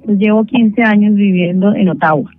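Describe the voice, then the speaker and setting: A middle-aged woman from Bogotá with a Colombian accent, a singer speaking as an interview guest, measured and grateful with frequent pauses. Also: mid-range voice. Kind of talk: phone interview. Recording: tinny phone line with faint music.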